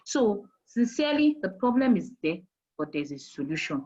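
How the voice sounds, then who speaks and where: A woman's voice: medium pitch, sing-song, normal speed, darkish tone, muffled recording. A woman with a Nigerian accent, an HR manager giving career advice in an interview, who talks emphatically, repeats her key points and asks rhetorical questions. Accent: Nigerian English. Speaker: HR manager guest.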